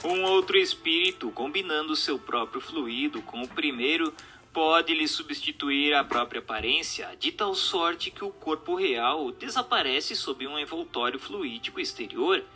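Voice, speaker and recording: A man with a Brazilian accent reading a scripted text as narrator, in a formal, didactic, measured manner. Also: on the high side, lively intonation, pushed and bright. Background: music.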